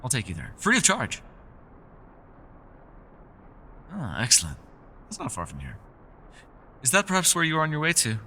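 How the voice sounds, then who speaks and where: Man playing a lively, courteous elf in a rainstorm. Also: medium pitch, a little fast, a little hiss or hum, heavily acted. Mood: eager. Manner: theatrical, genteel, old-fashioned formal phrasing. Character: mysterious, courteous, playful male elf scholar living alone. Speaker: voice actor. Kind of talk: ASMR fantasy roleplay addressed to the listener. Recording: rain, thunder and storm ambience behind. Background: rain and thunder sound effects.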